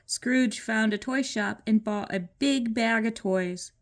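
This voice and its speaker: Slow-paced older woman reading a storybook. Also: fairly high pitch. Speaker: grandmother reading to children. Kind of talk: storybook read-aloud narration.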